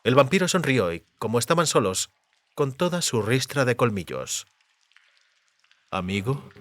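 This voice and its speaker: A man's voice: mid-range voice, very animated, moderate pace. A man reading scripted literary narration for a fantasy audiobook.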